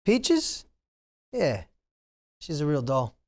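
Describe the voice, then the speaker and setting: Man acting an easygoing, lively-pitched uncle. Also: heavily acted. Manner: halting, casual. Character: easygoing club-owning uncle, hesitant and kindly. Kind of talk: screenplay table read acting.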